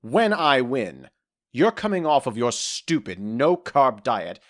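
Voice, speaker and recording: A man's voice: medium pitch, sing-song, slow. A man playing a petulant, jealous fairy king in a modern comedy audio drama, exasperated and stammering when flustered. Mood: stubborn, exasperated.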